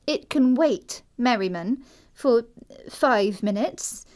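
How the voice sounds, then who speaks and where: A woman plays a prim young society girl in a classic comedy audio drama. Her voice is high and her delivery precise and theatrical. She sounds dreamy yet completely self-assured. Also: expressive, slow pace, a little mellow. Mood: prim, eager.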